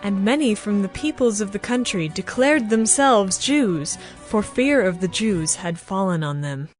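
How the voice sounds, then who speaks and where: A woman giving a formal, measured, scripted narration of a dramatized scripture story. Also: mid-range voice, expressive. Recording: music behind the voice.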